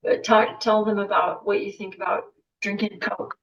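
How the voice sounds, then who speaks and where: A woman telling a long story in casual chat as a podcast guest, animated, with filler words. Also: mid-range voice, moderate pace, a little hiss or hum. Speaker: patient's wife, podcast guest. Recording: muffled lo-fi podcast audio.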